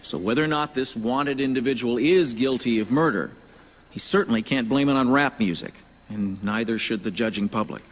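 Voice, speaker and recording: An actor playing a measured American senator in a video game, giving a careful, formal press statement. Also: male voice, medium pitch, lightly acted.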